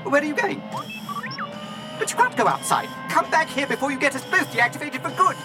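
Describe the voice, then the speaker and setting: An actor playing an anxious, servile protocol droid in a radio drama, with prim, clipped diction and a formal, fretful, scolding manner. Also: male voice, extremely high pitch, lively intonation, brisk, music in the background. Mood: indignant, anxious.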